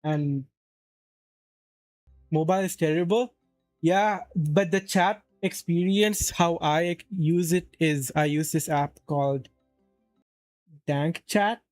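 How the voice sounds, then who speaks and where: A man's voice: a little high, slow, long pauses, a little mellow, no room sound, soft background music. A man from Saudi Arabia casually chatting on a live stream, rambling and answering chat as he plays.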